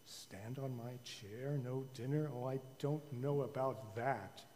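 A man's voice: mid-range voice, slow, darkish tone, slight background noise, close to an everyday voice. A man doing a pompous, flustered king in an echoey hall. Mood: worried, doubtful. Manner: pompous, stammering, repeats himself. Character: fretful, flustered king and father, pompous and indecisive. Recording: reverberant church hall.

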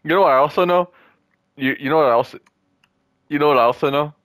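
A man's voice: on the high side, quick pace, fairly bright tone, smooth, no echo. A young man in casual gaming chat with a friend, joking and trash-talking and often repeating his words. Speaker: gamer.

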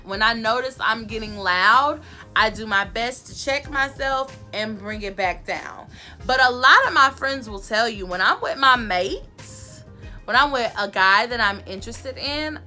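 A woman, giving sassy, emphatic advice to camera in her bedroom, repeating her points, with a loud voice that carries. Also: a little high. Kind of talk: casual advice talk to camera. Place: bedroom, indoors.

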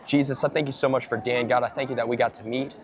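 A young American man preaches casually and earnestly on the street, talking quickly. His voice is a little dulled by the recording, with clear crowd noise around him outdoors. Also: medium pitch, fairly even intonation. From Georgia, United States. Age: early twenties. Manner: earnest, informal. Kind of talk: casual street evangelism and spontaneous prayer. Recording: muffled outdoor clip with busy crowd. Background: crowd chatter.

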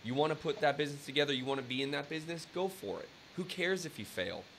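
A man in his thirties hosting an interview over webcam, talking in a casual, energetic pep-talk style with swearing. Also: fairly high pitch, fast talker, creaky. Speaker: interview host. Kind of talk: casual podcast chat. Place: indoor room with brick wall. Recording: faint hiss on video call audio.

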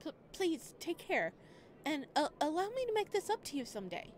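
A woman's voice: sits high, very animated, normal speed, a little hiss or hum, pitched above the performer's own voice. A woman voicing a timid, stammering young nobleman who keeps apologizing. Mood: nervous pleading.

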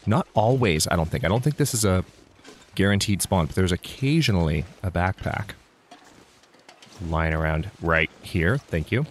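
A man's voice: low-pitched, a little hiss or hum. A man casually narrating his own gameplay as a let's play host, thinking aloud with restarts, sounding slightly congested.

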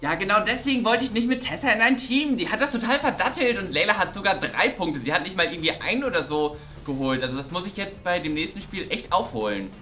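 A woman's voice: medium pitch, expressive, quick pace, few pauses, pushed and bright. A woman playing a whiny, competitive teenage camp contestant in a scripted web game show. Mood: annoyed. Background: music.

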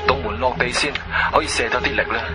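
An actor playing a bold, determined male adventurer in a radio drama, expressive and assertive, with a Guangzhou Cantonese accent. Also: mid-range voice, fast, over music, close to an everyday voice. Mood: determined, reassuring. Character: bold, determined adventurer hero, adult man. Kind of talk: dramatic radio play dialogue.

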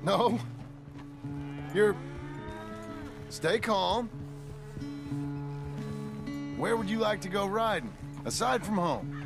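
An actor playing a stern but caring former outlaw turned rancher father in a video game, gravelly and terse with rural plain speech. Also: high voice, lively intonation, slow, music in the background, exaggerated voice. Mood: gruff coaching. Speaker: game character. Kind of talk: video game cutscene acting.

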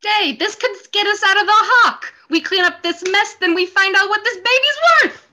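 A woman's voice: very high-pitched, normal speed, pushed and bright. A young woman doing an amateur script reading and chatting casually on a video call. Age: young adult. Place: room at home on a video call.

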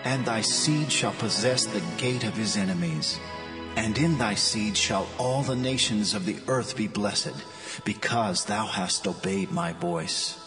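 A man playing a solemn angel of the Lord, proclaiming in a measured, grand scripture-drama manner. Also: on the low side, animated, creaky. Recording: long heavenly reverb, music behind.

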